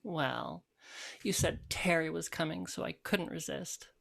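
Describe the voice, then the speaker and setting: A woman's voice: deep voice, a little slow, gravelly, fairly bright tone, full character voice. A woman playing a sarcastic, catty, world-weary care worker in a play reading, with a dry mock-sweet delivery.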